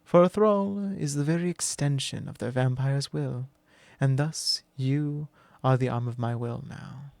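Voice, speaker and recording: A man voicing a possessive, commanding male vampire lord in an ASMR roleplay, speaking slow, low and intimate, often in a whisper. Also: vocal fry, a little mellow, no room sound.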